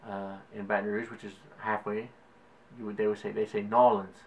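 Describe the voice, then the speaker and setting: A man's voice: on the low side, flat delivery, brisk, poor audio. A young man from South Louisiana with a Cajun accent, rambling casually through a word list in a vlog, with lots of "like" and "um". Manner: rambling, hedging with "like" and "um". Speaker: vlogger.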